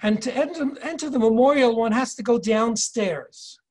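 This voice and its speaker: An older man in his sixties leading a virtual tour lecture from home over a video call, measured and explanatory, reading inscriptions slowly. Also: very high voice. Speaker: tour guide and educator. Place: home office, indoors. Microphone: video call webcam.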